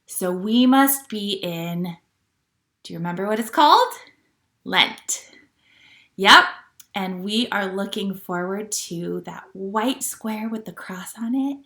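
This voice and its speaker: Bright-voiced woman leading children's storytime. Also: mid-range voice, roomy sound. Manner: gentle teacher talk, asking children questions. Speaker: children's church storytime leader. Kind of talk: read-aloud storytime for young children. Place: at home.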